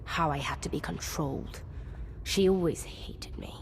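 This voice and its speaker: A cruel game villainess, lowish and half-whispered, petulant and menacing. Her lines swoop in pitch and ring in a long reverb. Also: expressive, measured pace, gravelly, exaggerated voice. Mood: bitter resentment. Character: sadistic, resentful villainess, a tyrant's sister. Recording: reverberant game hall, faint music.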